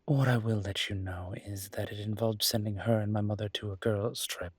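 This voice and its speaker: Man playing a low, husky, charming young mafia boss. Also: steady tone, normal speed, no room sound. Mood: wry, fond. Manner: playful, smooth-talking, teasing. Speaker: voice actor in a roleplay audio. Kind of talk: intimate audio roleplay acting.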